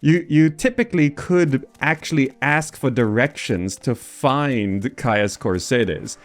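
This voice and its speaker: A man's voice: medium pitch, slow pace. A man giving casual gameplay commentary, thinking aloud in a hesitant way as he goes. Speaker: gamer presenting a game mod.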